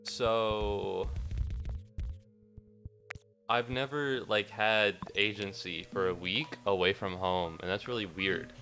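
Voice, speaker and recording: A man's voice: on the low side, slow, long pauses, bright, forceful tone, soft background music. Rambling, sniffly young man streaming. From United States. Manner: rambling, self-mocking, talks to chat. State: a little sniffly after a cold. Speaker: game streamer. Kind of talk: casual livestream chat while gaming.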